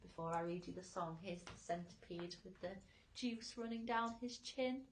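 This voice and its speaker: A woman's voice: on the low side, moderate pace, echoey room, a little hiss or hum, poor audio. A woman teacher reading a children's story aloud expressively for young listeners.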